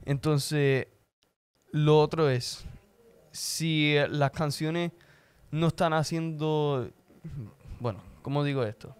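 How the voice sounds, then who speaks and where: A man who is a native English speaker, talking casually about faith as a podcast co-host, often pausing to find words and slipping into English. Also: fairly high pitch, clean tone, no room sound. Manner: halting, searches for words, slips into English. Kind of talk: casual religious discussion.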